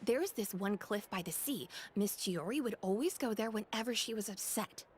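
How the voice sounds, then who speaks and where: Polite, lively young shop assistant voiced by a woman. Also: mid-range voice, moderate pace, a little hiss or hum, natural voice. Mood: thoughtful, helpful. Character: polite, helpful young female boutique assistant. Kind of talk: video game voice acting.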